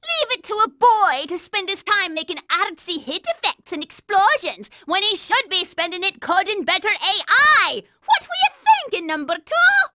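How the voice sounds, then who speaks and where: A woman's voice: very high voice, big pitch swings, moderate pace, few pauses, bright, forceful tone, exaggerated voice. A woman voicing a bossy, hot-tempered young girl soldier in a cartoon video game, brash, scolding and sarcastic. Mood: scornful, irritated.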